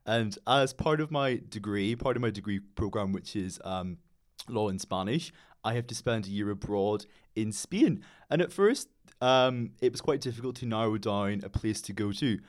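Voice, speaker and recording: A man's voice: lowish voice, expressive, normal speed. A young man with a Northern Irish accent, a guest on a radio interview, talking in an upbeat, chatty and polite way. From Northern Ireland. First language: English. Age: young adult. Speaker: radio guest, student teacher.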